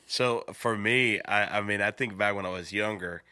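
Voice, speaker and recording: A lower-pitched man talking, his voice bright and forward. He chats casually as a pastor co-host, halting and restarting his sentences. The recording is dry and close. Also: clean tone, dry recording. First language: Spanish. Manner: halting, repeats words as he goes. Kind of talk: casual podcast chat.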